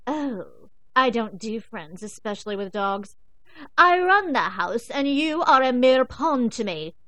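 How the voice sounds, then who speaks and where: A woman voicing an imperious, queenly female house cat who speaks slowly and commandingly. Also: on the high side, very animated, sharp tone, dry recording, heavily acted, poor audio. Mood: haughty.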